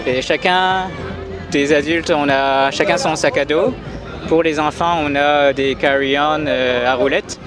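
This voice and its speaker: A man from France, a traveling father, answering questions in a calm, matter-of-fact way with frequent fillers. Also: on the high side, a little slow, music in the background. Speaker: interview guest, traveling father. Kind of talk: casual conversation. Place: busy city street outdoors. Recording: loud street crowd noise. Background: crowd and traffic.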